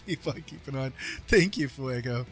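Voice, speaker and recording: A man hosting a casual livestream, speaking earnestly and haltingly with frequent pauses mid-sentence. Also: mid-range voice, lively intonation, a bit bright. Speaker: livestream host and artist. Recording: music playing behind the voice. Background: music.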